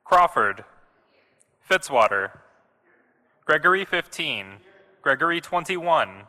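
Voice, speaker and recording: A man working as a senate clerk, calling out formally and deliberately in isolated phrases, as in a roll call. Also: on the high side, sing-song, bright, forceful tone. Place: large legislative chamber. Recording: echoey hall with long reverb.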